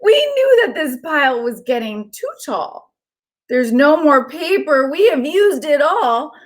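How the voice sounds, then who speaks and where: A woman's voice: high-pitched, very animated, measured pace, pitched above the performer's own voice. A woman voicing small, easily upset paint scribbles in a plaintive way for a children's storybook. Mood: worried. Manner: plaintive, fretful.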